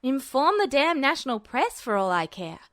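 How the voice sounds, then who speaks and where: A woman voicing a brash, impatient young alchemist who talks quick and defiant, in a fan audiobook reading. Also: high-pitched, very animated, fairly bright tone, no room sound, studio quality.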